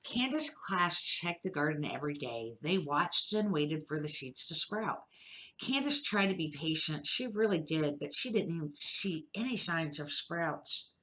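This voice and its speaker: An older woman, a grandmother, reading a children's picture book aloud in a measured, careful amateur style with short phrases. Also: deep voice, animated, pushed and bright, rough recording.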